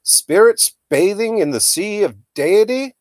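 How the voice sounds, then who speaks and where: A man's voice: sits high, animated, a little slow, darkish tone, no echo, pitched above the performer's own voice. A man voicing a wild, exuberant eccentric uncle who bellows and rants theatrically in a storybook reading. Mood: exuberant bellowing.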